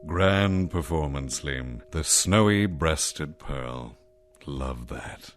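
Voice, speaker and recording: A man putting on a slow, coaxing devil. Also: a bass voice, lively intonation, heavily acted. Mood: coaxing menace. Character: the devil, a cold menacing male tempter. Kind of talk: radio drama acting.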